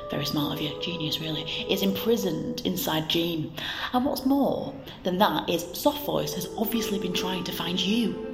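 Echoing, low, manipulative female inner voice. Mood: sly coaxing. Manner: mock-polite, coaxing, deliberate. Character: manipulative female inner voice, smooth, flattering, dark and sly. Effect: reverb. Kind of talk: scripted audio drama acting. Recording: echoey reverberant hall, music behind.

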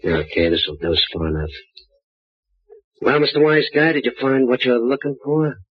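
A man's voice: medium pitch, normal speed, husky, no room sound, close to an everyday voice. An actor playing a menacing small-time male gunman in an old radio drama, talking tough and threatening. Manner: tough, threatening street talk. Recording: muffled old radio broadcast.

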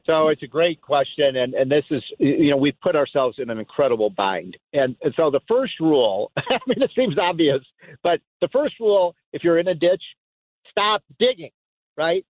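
A man hosting a call-in talk radio show, emphatic and excitable, often laughing as he talks. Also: fairly high pitch, normal speed. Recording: tinny phone-like sound.

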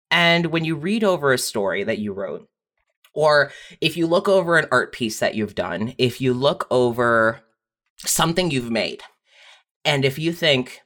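A writer talking frankly and casually as a podcast guest, animated when quoting others. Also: medium pitch. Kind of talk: candid casual conversation.

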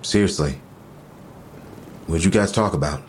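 Deep-voiced, touchy, probing boyfriend. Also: male voice, a little slow, slight background noise. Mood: defensive, probing. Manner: intimate, pointed, questioning. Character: touchy, probing male lover, quietly suspicious. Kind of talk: naturalistic audio drama dialogue.

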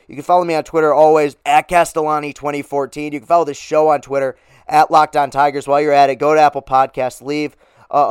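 A man hosting a casual sports podcast, upbeat and rambling with fillers, his voice a little hoarse. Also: fairly high pitch, no room sound, clean studio sound. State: losing his voice. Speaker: podcast host.

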